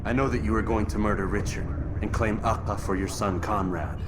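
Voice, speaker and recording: An actor playing a stern, moralizing young assassin who speaks in a measured, solemn way in a video game. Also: male voice, lowish voice, steady tone, natural voice. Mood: stern, quiet. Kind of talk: video game cutscene acting. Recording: heavy background noise under game dialogue.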